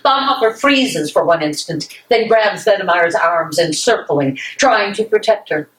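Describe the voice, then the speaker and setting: A woman's voice: on the low side, expressive, a little slow, fairly bright tone. Woman narrator, distant in echoey room. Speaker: narrator reading stage directions. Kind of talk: scripted narration. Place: library meeting room, live reading.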